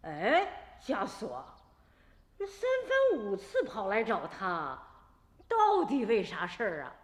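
A woman's voice: mid-range voice, huge pitch swings, takes it slowly. A woman playing a protective middle-aged village mother in a radio drama, indignant and challenging. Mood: wary. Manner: challenging rhetorical questions. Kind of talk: Chinese radio drama acting. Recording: reverberant, echoey room with music.

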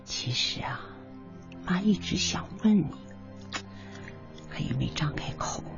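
A woman's voice: fairly low pitch, lively intonation, slow, gravelly, exaggerated voice. A woman acting a careworn, devoted middle-aged mother in a radio drama, speaking in an earnest, plaintive, homely way. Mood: tender, grave. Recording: dull radio drama recording, music bed.

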